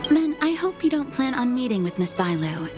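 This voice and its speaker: A woman voicing a devoted young maid who speaks formally and courteously, with an earnest tone, in video game dialogue. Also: fairly high pitch. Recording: game audio with music underneath. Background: background music.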